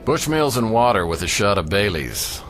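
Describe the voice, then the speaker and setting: Deep, echoing, world-weary noir detective. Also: male voice, normal speed. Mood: wry, weary. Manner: deadpan, sardonic. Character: wry, world-weary hardboiled male private detective. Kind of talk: noir radio drama narration and dialogue. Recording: roomy long reverb under loud music.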